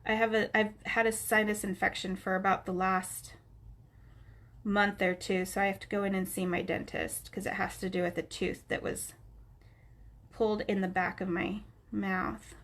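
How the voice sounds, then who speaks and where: A woman's voice: mid-range voice, little pitch movement, normal speed, a bit bright. A woman with a stuffy, nasal voice, chatting casually with her viewers by name as she teaches coloring on a live stream. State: stuffy nose, sounds nasal. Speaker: craft instructor on a live stream.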